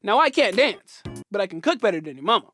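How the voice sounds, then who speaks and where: A man's voice: high-pitched, very animated, quick pace, pushed and bright, faint music behind. A young man telling a comedic personal story in a playful, slangy, punchy way, as host of his channel.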